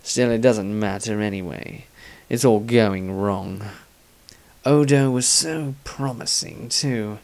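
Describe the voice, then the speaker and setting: A man voicing a cold, scheming male adventurer, reading his fantasy diary in a measured, darkly wry way. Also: lowish voice, a little mellow. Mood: scheming, bitter.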